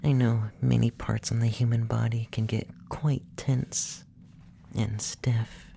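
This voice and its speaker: A performer playing a calm, clinical, gently curious young effeminate male alien abductor in a soft, breathy, often whispered ASMR roleplay, speaking slowly and soothingly. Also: mid-range voice, a little hiss or hum. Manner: slow, soothing, softly spoken, matter-of-fact.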